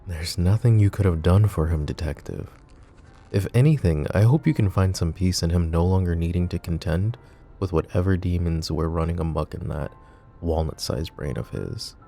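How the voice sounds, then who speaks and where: A man voicing a flirty, possessive and protective mafia boss in an ASMR roleplay, teasing and soft-spoken. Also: low voice, moderate pace, gravelly. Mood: tender teasing.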